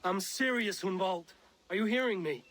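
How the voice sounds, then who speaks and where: An actor playing a wry, protective Norse warrior in a video game, speaking in a dry, direct manner. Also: male voice, high-pitched, normal speed, a bit bright. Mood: stern, concerned. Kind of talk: video game character acting.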